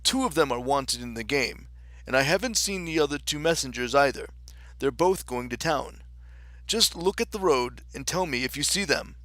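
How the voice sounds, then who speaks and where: Guy doing a blustery old king from a kids storybook, excitable, bright and forceful, kinda self important. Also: mid-range voice, normal speed, no echo, natural voice. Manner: blustering, emphatic, declaiming.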